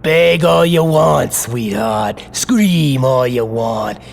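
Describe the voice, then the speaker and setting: A man's voice: mid-range voice, expressive, takes it slowly, creaky, a little hiss or hum. An actor playing a sadistic male gang thug who mocks and threatens his captive with gleeful menace, in an audio drama. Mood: taunting.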